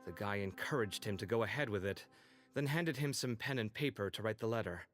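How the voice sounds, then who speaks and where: A man's voice: on the low side, fairly bright tone, faint music behind, lightly acted. An actor playing a matter-of-fact scholar in a game cutscene, briefing his friends. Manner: brisk, matter-of-fact explaining.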